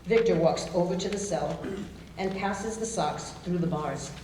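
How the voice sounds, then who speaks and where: Female stage-directions narrator, lowish mellow voice, scripted delivery, very roomy distant recording. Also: measured pace. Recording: very echoey room, distant, faint noise.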